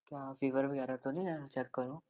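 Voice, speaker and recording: A man's voice: medium pitch, fairly even intonation, moderate pace, natural voice. A man playing a caring, insistent young husband who banters affectionately in a casual domestic audio roleplay, with an informal, coaxing way of talking. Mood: concerned. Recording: tinny narrow phone-like band.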